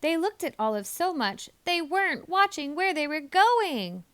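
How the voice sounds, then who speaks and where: A woman narrating a children's story expressively, leaning deliberately on key words. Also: high-pitched, big pitch swings, a bit bright. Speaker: storybook reader.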